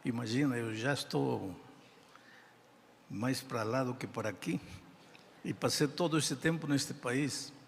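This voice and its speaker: An older man with a non-native accent in Portuguese, preaching a sermon in slow, deliberate phrases with emphatic pauses. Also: mid-range voice, vocal fry, a little hiss or hum. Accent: non-native speaker of Portuguese. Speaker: pastor. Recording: reverberant hall sound.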